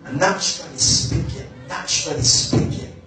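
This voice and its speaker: Male preacher, measured emphatic delivery; distant mic in a very reverberant room. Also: fairly high pitch, bright, forceful tone. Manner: slow, emphatic, repeats key words. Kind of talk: sermon. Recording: very echoey room, voice from afar, faint music.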